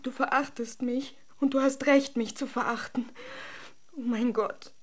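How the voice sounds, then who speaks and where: Guilty young wife in a radio play, super high voice, overwrought and self-blaming. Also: female voice, fairly even intonation, a little slow. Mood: anguished, self-loathing. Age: young adult. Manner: theatrical, overwrought. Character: guilt-ridden young married woman, emotional and self-reproaching. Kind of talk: radio drama dialogue.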